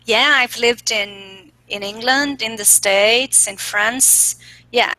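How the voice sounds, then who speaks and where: A woman's voice: fairly high pitch, slow, pushed and bright, no room sound, rough recording. A woman interviewing guests in English, friendly, often ending her points with tag questions. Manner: friendly, asks questions, ends lines with tag questions. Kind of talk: conversational interview.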